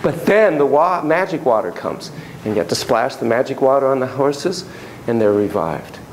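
An older man giving a chatty, animated university lecture in a classroom, heard from a camera at the back of the room. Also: medium pitch, normal speed, a little hiss or hum, poor audio. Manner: animated, chatty, quoting clients in a raised voice. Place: classroom with students. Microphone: far camera at the back of the room.

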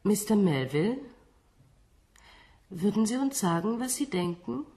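A woman in a German radio play playing a research assistant, giving polite, calm and reassuring instructions. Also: medium pitch, a little slow. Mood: clinical, patient.

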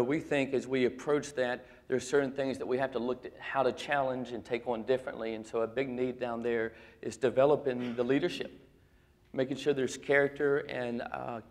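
A man in his thirties on a conference stage, fluent and confident, speaking in a businesslike flow. His pitch is average for a man. He is picked up on a lapel mic. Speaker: nonprofit executive director, panelist. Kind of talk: conference panel answer. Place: indoor conference stage with audience.

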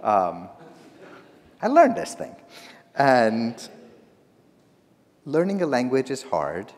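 A middle-aged man whose first language is not English, preaching from the pulpit with humor and stories. He talks slowly, his pitch rising and falling a great deal. His voice carries a long reverb through the church sanctuary. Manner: storytelling, humorous, expressive. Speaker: guest preacher. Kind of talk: sermon.

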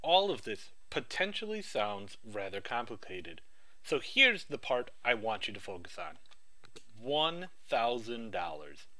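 A man giving a rehearsed project pitch with an upbeat sales-pitch delivery. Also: big pitch swings, unhurried, bright, forceful tone.

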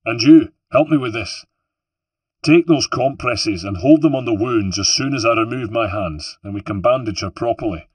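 A man voicing a brisk male healer from Venice who gives orders firmly but with care. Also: mid-range voice, slightly rough, dry recording, pitched above the performer's own voice, close to an everyday voice. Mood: commanding. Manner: commanding, brisk. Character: brisk male Venetian healer, gruffly caring.

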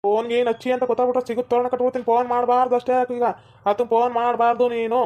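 A performer playing a stubborn village father, who is gruff and insistent and keeps scolding. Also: mid-range voice, completely flat delivery, racing, muffled recording, natural voice.

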